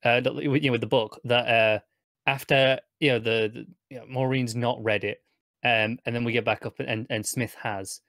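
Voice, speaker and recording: A young man talking casually and haltingly as co-host of a review podcast. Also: medium pitch, fairly even intonation, a bit bright, dry recording, clean studio sound. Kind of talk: casual chat, TV episode review. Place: indoors at home, online video call.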